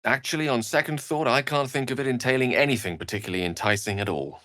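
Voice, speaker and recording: A man's voice: mid-range voice, fast talker, bright, forceful tone, dry recording, studio quality, natural voice. An actor playing an eloquent, learned wizard in a video game, articulate and bookish, wry and earnest in his florid phrasing. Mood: animated. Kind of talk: scripted video game character dialogue.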